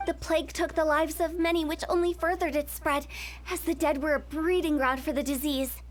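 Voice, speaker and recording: A woman's voice: sits high, steady tone, moderate pace, slight background noise, close to an everyday voice. A woman voicing a playful young female funeral parlor director in a video game, breezy and teasing as she explains things. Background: game music.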